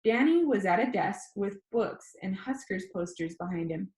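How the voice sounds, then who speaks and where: Expressive woman reading, lively pitch. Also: moderate pace, echoey room. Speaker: audiobook reader. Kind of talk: first-person novel narration read aloud.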